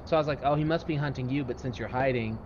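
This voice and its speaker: A man's voice: medium pitch, fast, lo-fi sound, noisy background. A man chatting casually and jokingly as a teammate in a co-op video game. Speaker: gamer, co-op teammate. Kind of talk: casual gameplay chat. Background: loud game ambience.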